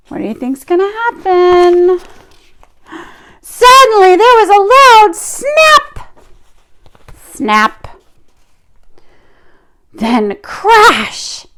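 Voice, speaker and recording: A woman reading a picture book aloud to children, expressive, with drawn-out emphasis and chatty asides. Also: high-pitched.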